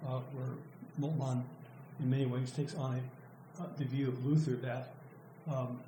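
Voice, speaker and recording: An older man giving an academic lecture, his voice going hoarse from overuse, speaking thoughtfully with halting restarts. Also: medium pitch, little pitch movement. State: losing his voice, clearing throat. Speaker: professor presenting a student's work. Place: lecture room. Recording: echoey room with faint noise.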